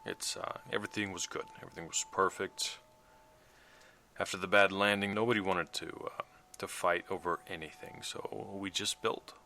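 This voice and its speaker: An actor playing a weary vehicle mechanic recording a sombre log in an audio drama, speaking in a halting, reflective way. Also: male voice, fairly even intonation, bright, forceful tone, slight background noise, heavily acted. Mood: grave storytelling. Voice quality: low gravelly voice. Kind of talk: audio drama monologue, naturalistic. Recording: music running under the voice. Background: musical underscore.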